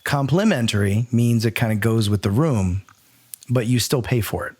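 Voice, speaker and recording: A man's voice: medium pitch, expressive, normal speed, a little mellow, slightly rough. A man hosting a podcast in casual banter, talking in a wordy, playfully mock-formal way. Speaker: podcast host.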